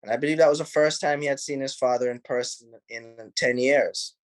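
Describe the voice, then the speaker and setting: A man's voice: medium pitch, fairly quick, dry recording, rough recording. Laid-back Jamaican man, dry close sound. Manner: relaxed, rambling, many "you know"s, laughs easily. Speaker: film director. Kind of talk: casual interview answers. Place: home in Los Angeles.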